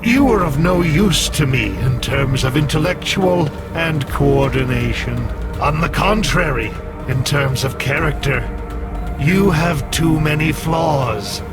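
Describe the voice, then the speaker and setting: An actor voicing a coldly calculating artificial intelligence in a video game, measured, solemn and condescending. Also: male voice, fairly high pitch. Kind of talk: dramatic video game cutscene acting. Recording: loud music under the voice.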